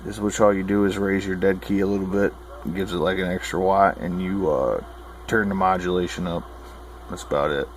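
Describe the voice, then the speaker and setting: A man's voice: low voice, fairly even intonation, brisk, a little hiss or hum. A man giving informal how-to advice as a radio hobbyist, folksy, plain-spoken and opinionated. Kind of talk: informal instructional talk.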